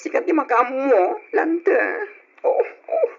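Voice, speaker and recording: A woman's voice: sits high, very animated, measured pace. A woman playing a dramatic, heartbroken young woman in an old radio drama, with an Ilocano accent and a whiny delivery. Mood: pleading, tearful. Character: dramatic heartbroken young woman, stubborn about quitting school. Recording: dull old radio recording.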